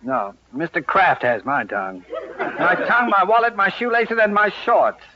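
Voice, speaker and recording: A man's voice: high voice, expressive, moderate pace, background noise. A man playing a stubborn, exasperated husband and homeowner in an old-time radio comedy, indignant and flustered in a clipped delivery. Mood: sulky indignation. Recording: dull, band-limited vintage radio recording.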